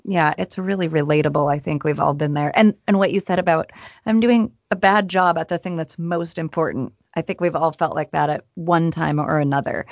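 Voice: medium pitch, no echo. Co-host, friendly, leads with questions, dry and dull audio. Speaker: podcast co-host. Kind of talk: podcast interview, conversational.

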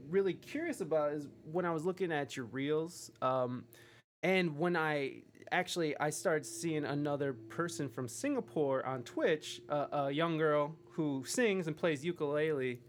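A man with a slightly high voice chats casually over faint background noise. He rambles a little, hesitant and self-deprecating, like an informal interviewer on a livestream. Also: unhurried.

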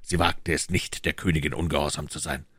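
An assured, deferential gentleman informant in an audiobook, voiced by a male narrator. His voice is very low. He reports in a measured, precise way, with a close, dry sound. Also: level tone, breathy edge, dry recording, heavily acted. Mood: measured, reporting. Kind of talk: audiobook character dialogue.

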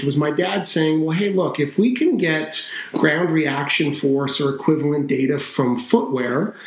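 A man in his thirties from Canada, a company founder talking about his life in a casual podcast interview, relaxed and chatty with lots of fillers. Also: fairly high pitch. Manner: relaxed, talkative, with frequent fillers and restarts. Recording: roomy echo.

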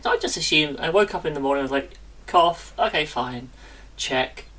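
Chatty woman, bright and distant. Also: low voice, a little fast. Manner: chatty, self-interrupting, lively. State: recovering from illness. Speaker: podcast co-host. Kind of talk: casual chat.

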